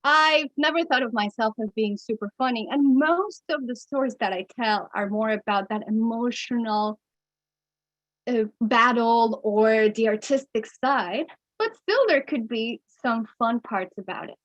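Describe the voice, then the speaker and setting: A woman from Mexico with a Mexican accent, a coach giving an expressive webinar presentation that she breaks up with small chuckles. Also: a little high, lo-fi sound. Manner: expressive, chuckles between points, speeds up and slows down. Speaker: keynote speaker and coach.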